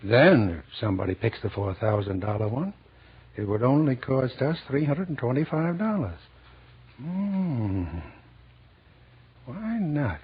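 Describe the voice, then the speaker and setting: An older actor in an old-time radio drama, playing a lonely, money-minded old store owner who talks in a brisk, blustery way. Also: male voice, medium pitch, lively intonation, husky. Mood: musing. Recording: dull vintage 1940s radio recording.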